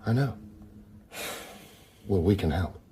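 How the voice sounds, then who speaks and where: Deep-voiced, dry police detective. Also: male voice, expressive, slow pace, mellow, slightly rough, full character voice. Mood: calm, persuasive. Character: widowed male police detective, dry, calm, world-weary. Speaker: actor in a radio crime drama. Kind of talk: naturalistic radio drama acting.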